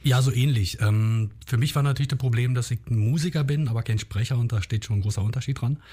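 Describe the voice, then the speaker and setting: A husky-voiced man from Berlin speaks with a Berlin accent as a musician guest. His pitch sits mid-range for a man. He talks in a relaxed, chatty way, often pausing and hesitating. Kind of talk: interview chat.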